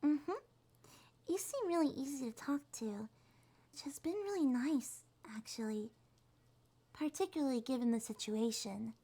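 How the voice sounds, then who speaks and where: A woman voicing a shy, sweet young succubus monster girl in a soft, breathy, hesitant ASMR roleplay. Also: sits high, animated, a little slow, long pauses, full character voice. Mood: shy, gentle. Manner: hesitant, gentle, slightly stammering.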